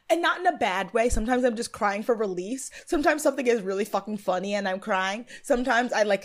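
A woman in her late twenties, co-hosting a podcast, recapping a TV episode in casual, profane, lively chat. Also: mid-range voice. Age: 20s. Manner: animated, profane, lively storytelling. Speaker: podcast co-host.

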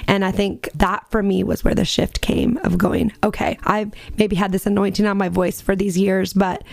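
A woman in her fifties, a worship singer talking as a podcast guest, animated and emphatic, laughing often. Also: medium pitch, moderate pace, vocal fry, no room sound. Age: 50s. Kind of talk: casual faith conversation.

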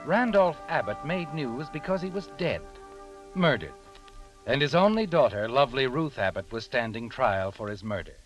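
A man's voice: mid-range voice, animated, normal speed, natural voice. An actor playing a sinister, all-knowing male mystery narrator, ominous and taunting in old radio style. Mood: grave narration. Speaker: story narrator. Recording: old radio recording with music behind.

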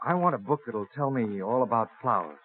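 Earnest colonial farmer, tinny old radio. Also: male voice, mid-range voice, expressive, fairly quick, husky. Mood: eager. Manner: earnest, wondering, old-fashioned plain speech. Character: earnest, curious young colonial Quaker farmer, man. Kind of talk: old-time radio drama acting. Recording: tinny band-limited old radio recording.

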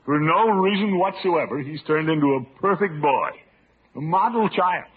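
An actor playing a pompous, exasperated middle-aged uncle in a blustery, grumbling 1940s radio comedy style. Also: male voice, a little high, expressive, moderate pace, natural voice. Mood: worried, grumbling. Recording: noisy, tinny old radio recording.

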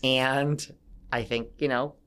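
A chatty man from Connecticut trades celebrity gossip as a podcast guest. He tells his stories with emphasis, in a voice of middling pitch. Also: steady tone, slow, a bit bright, clear, resonant tone. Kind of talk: casual gossip chat.

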